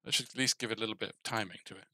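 A middle-aged man gives casual coding commentary, muttering to himself. His voice is lowish, very sharp and creaky, close on a headset. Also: fairly even intonation, rapid-fire, dry recording. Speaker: game developer streaming his coding. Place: indoor desk. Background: keyboard typing, clicks, faint music.

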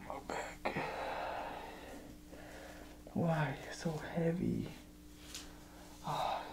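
A man playing a creepy, unhinged evil jester in an ASMR horror roleplay, muttering and rambling haltingly, often dropping to a whisper. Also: on the high side, vocal fry, noisy background, exaggerated voice. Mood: strained, anxious.

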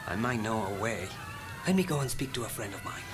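A man's voice: on the low side, expressive, fairly quick, music in the background, close to an everyday voice. An actor voicing an earnest, idealistic male revolutionary, speaking in formal, stiff English in an early 1980s radio play.

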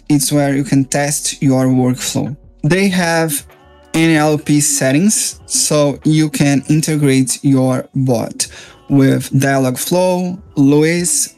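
Higher-pitched male tutorial presenter. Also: a little mellow. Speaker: software reviewer and tutorial presenter. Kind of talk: informal screen-share software walkthrough. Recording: music playing behind the voice.

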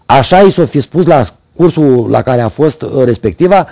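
A man's voice: mid-range voice, expressive, mellow, no echo. A middle-aged man hosting a TV opinion segment, reading scripted commentary with sardonic irony at a studio desk into a lapel mic. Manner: sardonic, ironic complaints. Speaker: TV commentary host.

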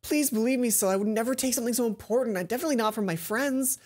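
A man voicing a fiery, protective teenage schoolgirl from a visual novel, emphatic and quick to scold. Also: very high voice, darkish tone, voice pushed up. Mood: anxious pleading. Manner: indignant, emphatic, raised voice. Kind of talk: visual novel character reading. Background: game music.

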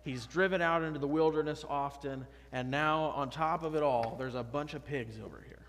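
A man's voice: on the high side, normal speed, fairly bright tone. A man in his thirties, a pastor preaching a conversational, emphatic sermon from a pulpit. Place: church sanctuary, at a pulpit. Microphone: pulpit microphone, far camera. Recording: long church reverb.

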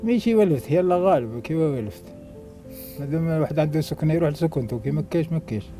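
A man's voice: a little high, animated, racing, over music. A man in his late fifties with an Algerian accent, answering interview questions in short, repetitive phrases. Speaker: homeless interviewee. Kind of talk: casual street interview answers. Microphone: handheld reporter microphone.

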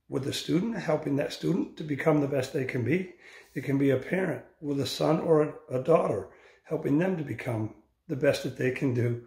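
An older man reads a children's picture book aloud in deliberate phrases, recorded indoors on a phone. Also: medium pitch, gravelly, a little mellow. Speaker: storybook reader. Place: indoor room with bare walls. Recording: roomy, echoing home recording.

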